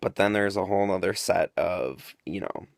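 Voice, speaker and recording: A young man with a very low, gravelly voice talks straight to the camera in a loose, rambling monologue. He explains things at length, filling pauses with ums and drifting into tangents. The sound is close and dry. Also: no room sound. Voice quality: deep voice. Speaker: vlogger.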